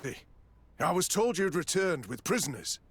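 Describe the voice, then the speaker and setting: An actor playing a stern but fair military quartermaster in a video game, with a formal, authoritative manner. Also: male voice, high voice, sing-song, moderate pace, slight background noise. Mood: stern, official. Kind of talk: scripted video game acting.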